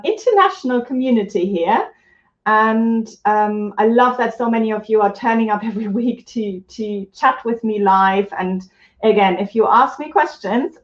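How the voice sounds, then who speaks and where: A woman's voice: medium pitch, reverberant, dull audio. A woman from Germany teaching yoga on a live stream, chatting casually and reading out viewer comments.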